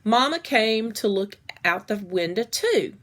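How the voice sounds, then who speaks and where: Female storybook reader, slow and deliberate pace, mid-range voice, narrating for children. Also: mid-range voice, lively intonation, fairly bright tone. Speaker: reader reading a children's book aloud. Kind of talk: read-aloud story narration.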